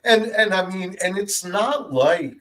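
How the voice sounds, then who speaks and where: A man's voice: sits high, a little slow, a bit bright. Chatty man hedging with ums. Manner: chatty, hesitant, many ums and you-knows. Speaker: fantasy football podcast co-host. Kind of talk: casual sports analysis chat.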